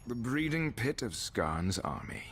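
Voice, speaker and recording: An actor playing a grim, resolute demon-hunting warrior in a video game, speaking in a terse, brooding, dramatic way. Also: male voice, mid-range voice, very animated, unhurried. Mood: defiant. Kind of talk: video game character acting. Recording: very noisy game sound effects behind.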